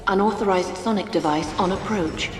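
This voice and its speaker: A woman's voice: on the low side, moderate pace, lightly acted. A woman voicing an impersonal computer announcer giving alerts in a video game. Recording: distant, echoing hall announcement. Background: music.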